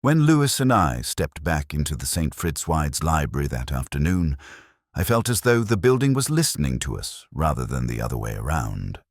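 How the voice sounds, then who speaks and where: A man with an extremely deep voice narrates a detective mystery in a measured, reflective way. His pitch rises and falls freely through the scripted first-person storytelling, and the sound is close and dry. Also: big pitch swings, dry recording. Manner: measured, reflective, literary phrasing.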